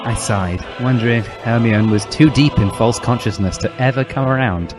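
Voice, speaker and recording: Casting: male narrator, mid-pitched, reading comic fan fiction with music playing behind him. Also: normal speed.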